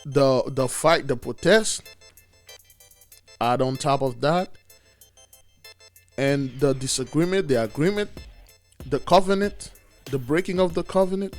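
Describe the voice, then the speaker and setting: A man with a raspy voice hosting a religious video, preaching with emphatic stress on key words. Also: mid-range voice, slow pace, long pauses. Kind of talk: preaching commentary. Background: faint music.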